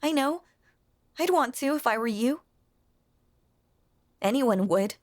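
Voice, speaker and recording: A woman voicing a lonely, possessive young ghost in an intimate audio roleplay, speaking in a hushed, halting, breathy voice that is tender and sweetly sinister. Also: high-pitched, lively intonation, dry recording, clean studio sound. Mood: anxious confessing. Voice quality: breathy, often slipping into whisper.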